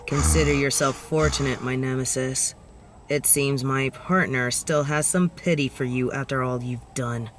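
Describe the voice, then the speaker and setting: A woman's voice: rumbling low, steady tone, moderate pace, a little hiss or hum. A woman voicing a bitter, sardonic, vengeful supervillain in a dramatic audio roleplay, theatrical and menacing. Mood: reluctant, subdued. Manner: theatrical, menacing, swinging from hushed to shouted.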